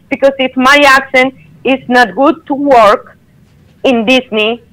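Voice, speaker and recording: A woman, a caller telling her story on a radio show in earnest, halting English over a phone line. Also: on the high side, a bit bright.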